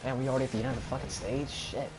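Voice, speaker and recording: A man's voice: medium pitch, steady tone, rapid-fire, poor audio. A young man giving offhand, chatty, profane commentary on a video game playthrough, with game music behind him. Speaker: gaming video host.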